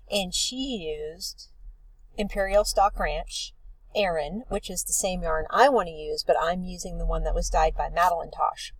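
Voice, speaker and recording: A woman co-hosting a knitting podcast. She is sniffly from allergies and talks in a relaxed, rambling way. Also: lowish voice, no room sound, rough recording. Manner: relaxed, rambling, self-deprecating. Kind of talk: casual chat. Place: outdoors at a beach.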